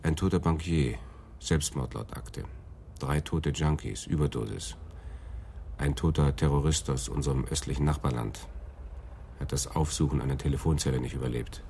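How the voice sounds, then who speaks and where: A man's voice: extremely low pitch, normal speed, vocal fry, mellow, slight background noise. A man acting a dry, disgruntled police sergeant of about forty in a German radio crime drama, speaking in terse, clipped sentences. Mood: dry reporting. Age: 40s.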